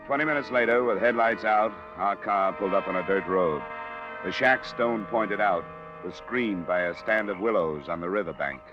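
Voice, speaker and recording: An actor playing a tough, steady insurance investigator with a clipped, matter-of-fact manner in a 1950s radio detective drama. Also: male voice, lowish voice, normal speed, music in the background, lightly acted. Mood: matter-of-fact narration. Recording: muffled, noisy vintage radio recording.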